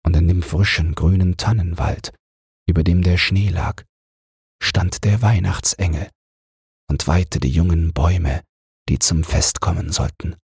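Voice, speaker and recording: A male narrator reading bedtime fairy tales. His low voice is very breathy and husky, recorded close and dry. He keeps a calm, measured delivery. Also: dry recording. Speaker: audiobook narrator.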